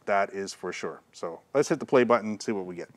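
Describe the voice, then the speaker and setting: A man's voice: sits low, expressive, fast talker. A middle-aged man giving a casual, plainspoken product demonstration. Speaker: product reviewer and retailer. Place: cluttered audio gear shop, indoors. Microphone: lavalier on shirt, condenser beside camera.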